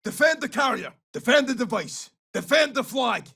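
An actor playing a gruff, brash Scottish special forces soldier in a military shooter game, giving clipped, urgent tactical callouts in a rough, gravelly voice. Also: male voice, very high-pitched, animated, normal speed, sharp tone, distant mic, full character voice. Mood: urgent shouting.

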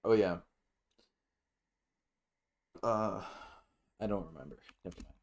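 A man giving casual, halting gameplay commentary as host, with some offhand swearing. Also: fairly low pitch. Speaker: gaming video host.

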